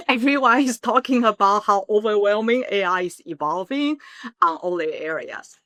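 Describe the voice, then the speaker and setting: A middle-aged woman from Taiwan, telling her story as a startup founder in a casual interview, earnest and animated in bursts. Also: mid-range voice, normal speed, no echo, studio quality.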